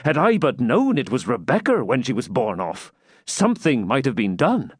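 A plain-spoken outlaw captain of storybook legend, acted by a male reader speaks with grave command in old-fashioned phrases. The mid-pitched voice moves expressively, recorded dry and close. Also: wildly swooping pitch, moderate pace, husky, no echo. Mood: regretful. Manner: grave, commanding, archaic speech.

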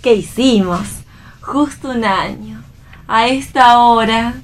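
A woman's voice: on the high side, takes it slowly, slight background noise. A woman with an Argentine accent playing a playful, teasing young wife in a read-aloud stage play. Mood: playful, excited. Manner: teasing, bantering.

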